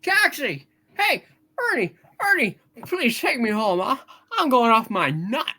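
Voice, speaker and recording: A woman voicing a frantic, bewildered, exasperated family man, husky, in a hammed-up amateur play reading. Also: a little high, sing-song, a little slow, pushed and bright, full character voice. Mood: pleading.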